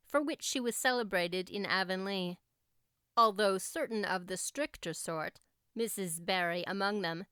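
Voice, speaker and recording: A woman's voice: mid-range voice, fairly bright tone, smooth, dry recording, studio quality. A woman reading scripted story narration as an audiobook narrator.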